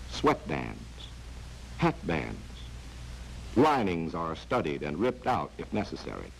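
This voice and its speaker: A taunting, sardonic narrator of a 1940s spy training film. His low voice swings dramatically up and down as he speaks in measured phrases. A faint hiss from the old soundtrack sits behind him. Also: creaky, heavily acted, low-quality recording.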